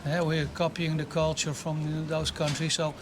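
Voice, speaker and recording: A middle-aged man from the Netherlands, answering in a street interview, pausing to search for his words. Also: fairly high pitch, little pitch movement, background noise.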